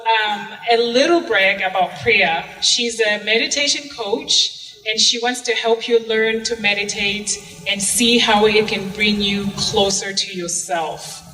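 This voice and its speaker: Jasny głos kobiety, prowadząca wydarzenie. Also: female voice, medium pitch, fairly bright tone. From Zimbabwe. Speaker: event host. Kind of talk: stage introduction. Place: art museum event stage with audience. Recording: distant mic in a reverberant hall.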